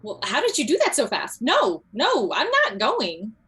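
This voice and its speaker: A woman's voice: on the high side, huge pitch swings, measured pace, bright, forceful tone, slightly rough, exaggerated voice. Woman acting a wry, reluctant widowed mom. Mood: exasperated. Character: widowed middle-aged mother, reluctant former standup comic, wry. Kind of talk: table read of a comedy script. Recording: video call.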